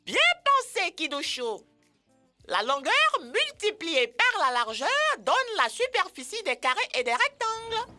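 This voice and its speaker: A woman voicing an excitable motherly bird teacher in a French children's cartoon, exclamatory and sing-song with drawn-out words. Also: high-pitched, soft background music, full character voice. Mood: delighted.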